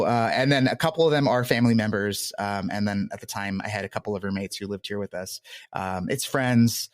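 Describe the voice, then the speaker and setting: A man's voice: fairly low pitch, dry recording, studio quality. A man giving casual, rambling, self-deprecating answers as an interview guest. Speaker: podcast creator being interviewed.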